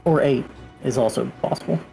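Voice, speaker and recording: A man's voice: mid-range voice, fairly quick, vocal fry, warm tone, soft background music. A man chatting casually as a player in a tabletop game, explaining how things work.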